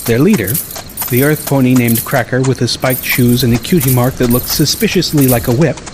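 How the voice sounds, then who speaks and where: A man's voice: medium pitch, fairly quick, background noise. A man reading a first-person story as an audiobook narrator.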